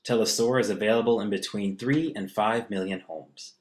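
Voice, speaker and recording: A man narrates from a prepared script in a somewhat low voice. The delivery is that of an explanatory narration, read line by line. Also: normal speed.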